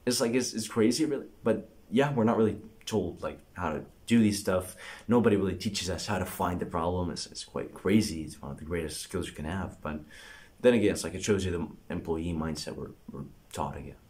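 A man's voice: sits low, fairly quick, a little mellow. A young man giving casual, emphatic fitness advice to camera in an informal vlog style. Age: young adult. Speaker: fitness vlogger. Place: living room, seated on a couch indoors.

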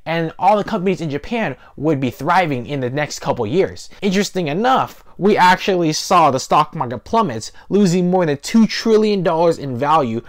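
A man's voice: a little high, expressive, moderate pace, hardly any pauses. A man in his twenties talking casually to camera as host of an explainer video, stressing key words, recorded indoors on a lapel mic. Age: 20s. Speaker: host of an investing video channel. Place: small living room, indoors.

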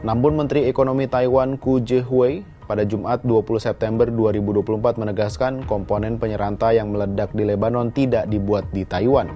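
A man's voice: on the low side, fairly even intonation, fairly quick, hardly any pauses, lo-fi sound. A man narrating a scripted news explainer as a voice-over. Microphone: voice-over microphone. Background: background music.

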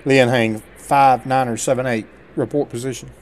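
A middle-aged man playing an air traffic controller, reading radio clearances with drawling, casual asides between calls. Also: mid-range voice, steady tone, normal speed. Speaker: gameplay streamer. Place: small home room indoors.

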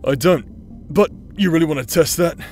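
A man's voice: fairly high pitch, normal speed, darkish tone, soft background music, natural voice. A man playing a cocky, teasing adventurer in an audio roleplay, with a drawling, playful manner. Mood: wry. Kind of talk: dramatic audio roleplay acting.

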